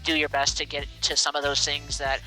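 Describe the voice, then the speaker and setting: A man's voice: fairly high pitch, monotone, brisk, bright, forceful tone, faint music behind, low-quality recording. A young man speaking casually and earnestly as an interview guest, an air traffic controller who encourages listeners and repeats words for emphasis. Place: small aircraft cockpit in flight.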